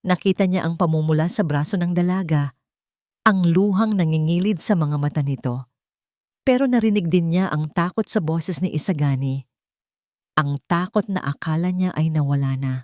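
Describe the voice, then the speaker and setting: A woman narrating a dramatic romance story in a measured, theatrical storytelling manner. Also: deep voice, darkish tone, no echo, studio quality.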